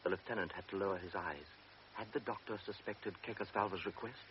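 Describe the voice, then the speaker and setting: Male narrator, very low voice, measured literary reading, dull hissy old radio sound.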